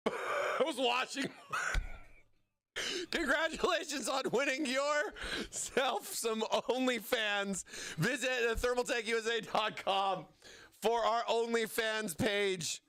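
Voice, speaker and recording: A man hosting a livestream giveaway, excitable and playful as he hypes up his chat, his voice strained from overuse. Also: very high-pitched, takes it slowly, long pauses. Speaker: livestream host.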